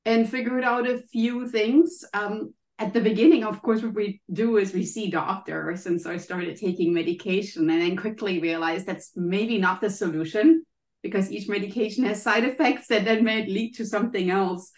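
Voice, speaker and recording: A woman's voice: medium pitch, steady tone, normal speed, a little mellow, reverberant. A German woman with a German accent, a guest coach explaining things in casual interview answers, with frequent ums and maybes. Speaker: guest, health and happiness coach.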